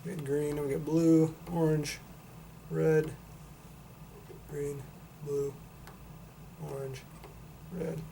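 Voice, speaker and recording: A man's voice: fairly high pitch, level tone, very slow, long pauses, warm tone, low-quality recording. A man giving a casual, unscripted product demonstration in a matter-of-fact, explanatory way. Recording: noticeable background noise.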